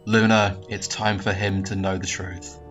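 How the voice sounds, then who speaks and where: A man voicing a solemn dragonfly father, speaking gravely and in a measured way over faint music. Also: sits low, little pitch movement, fairly bright tone, highs cut off.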